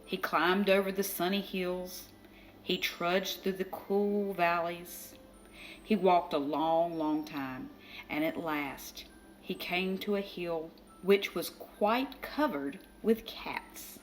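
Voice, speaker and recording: A woman reading a children's picture book aloud as storyteller, expressive, with dramatic emphasis. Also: lowish voice, takes it slowly, poor audio. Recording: faint background noise.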